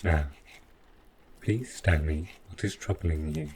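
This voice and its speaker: A man's voice: rumbling low, takes it slowly, noisy background. A man playing a polite, devoted robot butler in an audio drama, speaking in a formal, stiffly precise and deferential manner. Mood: calm, attentive. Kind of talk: scripted roleplay audio drama.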